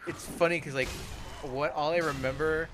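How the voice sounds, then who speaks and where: A man of about forty chatting casually over gameplay, rambling and halting, with plenty of swearing. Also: on the high side, fairly even intonation, noisy background. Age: around 40. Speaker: host of a gaming let's play podcast.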